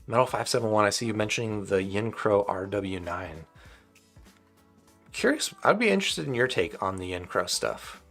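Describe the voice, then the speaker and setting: A man's voice: on the low side, lively intonation, normal speed, gravelly, fairly bright tone, faint music behind. A man hosting a live stream, answering viewer questions about audio gear in a casual, rambling way, his voice getting dry and tired. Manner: rambling and conversational, with frequent restarts.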